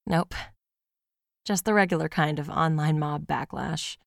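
A woman's voice: low voice, a little slow, creaky, no echo, clean studio sound. A woman playing a podcast host who reads out a fan's email in a casual, wry, sweary storytelling way for an audio drama. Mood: amused. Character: podcast host reading a fan's confessional horror-comedy email aloud. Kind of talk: scripted audio drama monologue, conversational storytelling.

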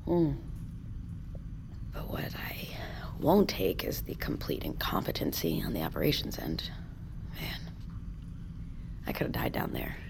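A woman playing a tough, blunt deep-sea diver in a naturalistic audio drama, casual and weary. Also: wildly swooping pitch, a little slow, gravelly, long pauses, whispered, full character voice. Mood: weary, frank.

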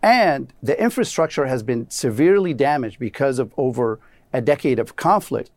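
A man from Syria answering as a policy expert guest in a news interview, explaining earnestly and gravely. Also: mid-range voice, expressive, normal speed, no room sound.

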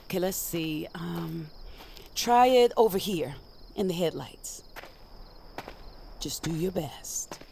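A woman's voice: lowish voice, animated, takes it slowly, vocal fry, long pauses, darkish tone, a little hiss or hum, full character voice. An actress playing a seasoned magic user in an audio drama, a frank and protective mentor who teases as she instructs. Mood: encouraging.

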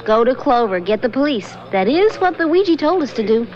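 A woman's voice: on the high side, big pitch swings, a little fast, darkish tone. An eager, nervous young woman. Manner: breathless, eager, nervously giggling. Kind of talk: 1970s radio drama acting. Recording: lo-fi old radio broadcast, faint hiss.

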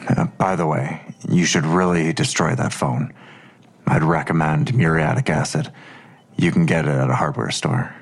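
An actor playing a guarded, middle-aged police detective and worried father in an audio drama, gravelly and terse. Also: a bass voice, steady tone, moderate pace, full character voice. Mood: grave, defensive. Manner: terse, cagey.

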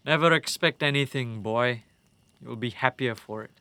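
A man's voice: mid-range voice, normal speed, bright, forceful tone, clear, resonant tone, no echo. A man playing a captured enemy warlord in an audio drama, flippant and cocky, with a theatrical, taunting, wry delivery.

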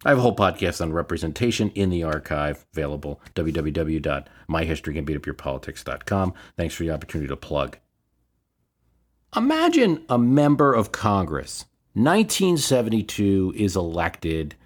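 American man, deep-pitched solo podcast host, explanatory commentary with measured, opinionated delivery. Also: huge pitch swings. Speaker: history and politics podcast host.

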